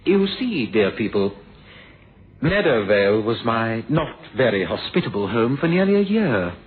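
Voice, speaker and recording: A man's voice: medium pitch, very animated, moderate pace, natural voice. An actor playing a defensive, bitter young man in a radio mystery, with a theatrical, sarcastic and indignant manner. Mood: bitter, sardonic. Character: defensive, bitter, sardonic young man, murder victim's son. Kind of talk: 1970s radio mystery drama acting. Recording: muffled old radio recording.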